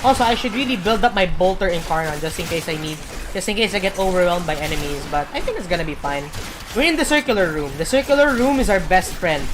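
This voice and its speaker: A woman streamer giving rambling gameplay commentary, full of fillers and pauses to search for words. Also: on the low side, lively intonation, noisy background.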